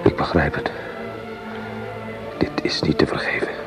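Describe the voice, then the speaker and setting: An actor playing a grave, guilt-ridden soldier in a radio drama, speaking with heavy, hushed solemnity. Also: male voice, unhurried, whispered, over music, natural voice. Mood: sorrowful resignation.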